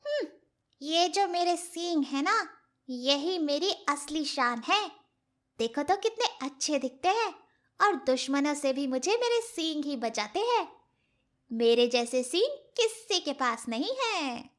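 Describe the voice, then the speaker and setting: Cartoon fairy tale doe, played by a woman: vain, proud, very high pitch, slow self-admiring delivery. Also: lively intonation, fairly bright tone, heavily acted. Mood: smug, self-admiring. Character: vain, proud female deer admiring her antlers. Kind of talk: children's animated fairy tale character voice.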